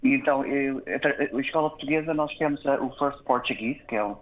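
A man from Canada, born in Toronto, answering interview questions hesitantly, with many filled pauses and restarts. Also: medium pitch, phone-quality sound. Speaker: guest.

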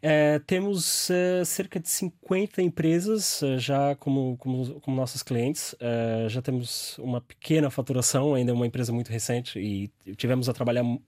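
A man's voice: medium pitch, fairly even intonation, normal speed, hardly any pauses, darkish tone, no echo, clean studio sound. A young man with a Brazilian Portuguese accent, a startup founder answering interview questions with many fillers and restarts.

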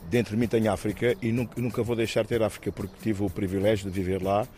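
A man in his fifties from Guinea-Bissau speaks quickly but haltingly, circling back over his words with fillers and restarts. His voice sits low and mellow. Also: flat delivery, faint music behind. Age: 50s. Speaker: interviewee, actor and musician. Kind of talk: reflective personal interview.

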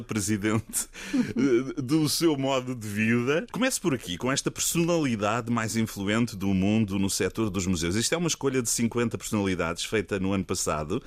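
A low-voiced man with a European Portuguese accent hosts a relaxed studio conversation. He is chatty, laughs easily and pauses to search for words. The sound is close and dry. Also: no echo. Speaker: radio host. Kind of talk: relaxed radio interview.

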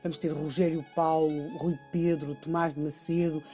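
A woman presenter with a low, dark voice and a European Portuguese accent. She explains with emphasis, repeating key phrases as in a radio documentary, over faint music. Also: level tone, normal speed.

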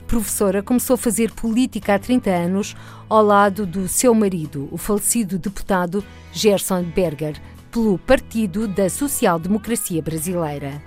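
A woman presents in a measured, formal read. Light music sits faintly beneath her scripted narration. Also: medium pitch, darkish tone.

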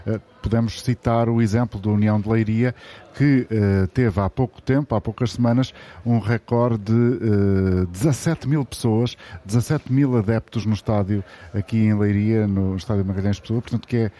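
Deep-voiced Portuguese radio host, a man. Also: fairly even intonation, normal speed, mellow. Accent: European Portuguese. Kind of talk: live radio sports discussion.

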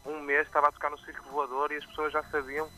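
A man with a Porto accent and some Brazilian expressions, a musician talking casually in a live radio interview, often pausing with fillers and restarting. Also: on the high side, soft background music, rough recording. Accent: Porto Portuguese with some Brazilian expressions. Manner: relaxed, chatty, with frequent fillers and restarts. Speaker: musician guest. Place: remote from his own location, on live radio.

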